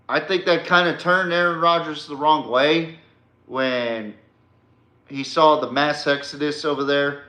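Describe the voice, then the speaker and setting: American man, sports podcast host, bright forceful voice, unhurried pace, blunt casual ranting, roomy echo. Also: mid-range voice. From Texas, United States. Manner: blunt, profane, emphatic. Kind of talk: casual opinionated sports rant.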